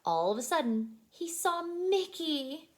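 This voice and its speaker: A woman reading a story aloud to young children as a childcare storyteller, expressive and animated. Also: high-pitched, slow pace. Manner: animated, asks listeners questions.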